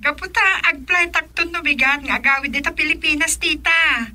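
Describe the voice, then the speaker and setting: A woman's voice: high-pitched, normal speed, pushed and bright. A Filipino woman playing an eager young niece in a radio drama.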